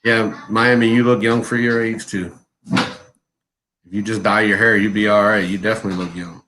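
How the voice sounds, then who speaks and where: A man's voice: on the low side, little pitch movement, normal speed, sharp tone, slight background noise, rough recording. A man in his forties chatting casually on a live stream, laid-back, laughing often and telling long stories. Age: 40s. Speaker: co-host of a live chat stream.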